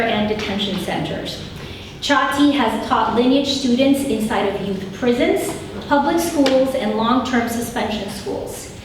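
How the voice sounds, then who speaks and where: A woman hosting a live event, introducing speakers in a halting way with many fillers. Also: mid-range voice, background noise. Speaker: event host. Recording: roomy hall mic from a distance.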